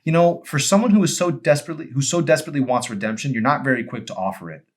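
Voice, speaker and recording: A man's voice: mid-range voice, racing, pitched above the performer's own voice, lightly acted. Guy doing a hurt teen girl from next door, emphatic and pleading, audiobook. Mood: indignant.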